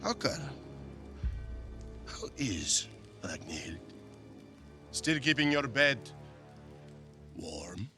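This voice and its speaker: An actor playing a ruthless, scornful Viking warlord in a video game, taunting and deliberate. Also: male voice, medium pitch, big pitch swings, bright, forceful tone, soft background music, heavily acted. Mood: mocking, menacing. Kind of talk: cinematic video game acting.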